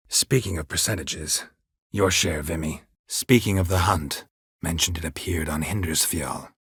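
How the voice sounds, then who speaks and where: An actor playing a stoic, sardonic monster hunter for hire in a video game, speaking tersely and deadpan in a gravelly, husky voice. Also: male voice, a bass voice, no room sound, clean studio sound, heavily acted. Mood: dry, brisk. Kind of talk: video game character dialogue.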